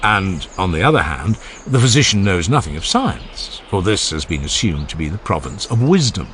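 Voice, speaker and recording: Deep-voiced man, expressive formal audiobook narrator. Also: moderate pace, a little hiss or hum. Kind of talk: dramatized scripted reading of a classical philosophical dialogue.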